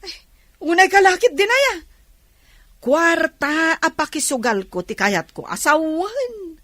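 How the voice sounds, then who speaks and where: An actress playing a nosy, mocking Ilocano auntie who wheedles in a radio comedy drama. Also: female voice, very high-pitched, sing-song, slow pace, a bit bright, no room sound, clean studio sound, exaggerated voice. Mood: wheedling. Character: nosy, scornful auntie who mooches money from relatives.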